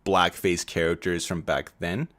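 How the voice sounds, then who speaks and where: A man's voice: sits low, steady tone, a little slow, a bit bright. A man giving personal opinion commentary in a video.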